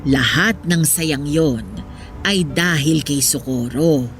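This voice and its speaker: A woman's voice: low voice, slow pace. A wistful old Filipino mother. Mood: tender, earnest. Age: older. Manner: reflective, earnest, motherly. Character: old poor mother, wistful, devoted, gently wise wife. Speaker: voice actor in a radio drama. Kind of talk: Filipino radio drama acting. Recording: music behind the voice.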